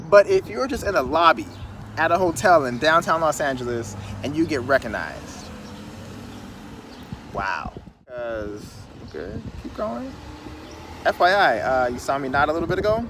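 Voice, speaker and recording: A man in his thirties sits on a city curb and rambles through a casual vlog, drifting into animated asides. His voice sits a little high for a man. Faint traffic and wind catch the mic. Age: 30s. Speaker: vlogger. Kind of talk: casual outdoor vlog monologue. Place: outdoors on a city street curb. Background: wind and passing traffic.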